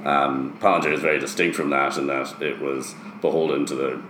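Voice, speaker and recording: A man with an extremely deep voice talks through his answers in an explanatory, conversational interview style. The room adds noticeable echo, and there is faint noise behind him. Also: flat delivery, a little fast. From Ireland, later years in the US. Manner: explanatory, often checks with "right?". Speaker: interview guest, startup founder.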